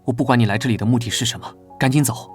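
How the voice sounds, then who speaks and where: A man playing a young knight, proud and guarded, serious and reserved. His middle-pitched voice rings in a long reverb, with clear music behind it. It is animated drama delivery, often turned inward like private thought. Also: racing, natural voice. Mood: curt, guarded. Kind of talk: animated drama dubbing with inner monologue.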